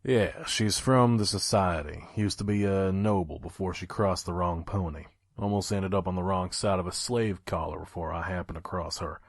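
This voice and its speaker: A man playing a weary, guarded pony trader in an audio drama, with a gruff, dry, terse way of talking. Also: deep voice, moderate pace, hardly any pauses, dry recording. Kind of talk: audio drama narration and dialogue.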